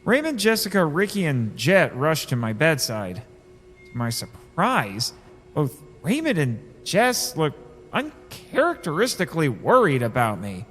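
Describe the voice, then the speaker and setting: A sardonic crash survivor acted by a man tells his story in an audio drama. The voice is fairly high and swings noticeably in pitch, with wry, deliberate phrasing. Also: huge pitch swings, a bit bright, long reverb tail, faint music behind, full character voice. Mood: earnest, bewildered. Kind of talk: first-person audio drama narration and dialogue.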